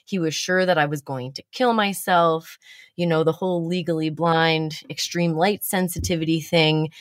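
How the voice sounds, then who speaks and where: A woman's voice: deep voice, fairly even intonation, moderate pace, no echo, clean studio sound. A woman hosting a casual chat, telling stories about herself with blunt, self-mocking humor and the odd swear word.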